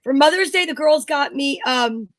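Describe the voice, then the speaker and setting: A woman with a very high voice co-hosts a live show. She chats casually and candidly, unscripted, over faint background noise with some clicks. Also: moderate pace, fairly bright tone.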